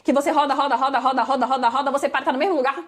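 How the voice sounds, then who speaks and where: Brazilian woman in her thirties, interview guest, high voice, rapid emphatic storytelling, São Paulo-smoothed accent. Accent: Brazilian Portuguese, São Paulo-smoothed. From Bahia, Brazil. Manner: animated, emphatic, repetitive for effect.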